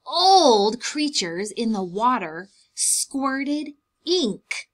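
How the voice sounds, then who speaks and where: A woman's voice: a little high, big pitch swings, extremely slow. A young adult woman reading a picture book aloud as a preschool teacher, explaining things simply to young children.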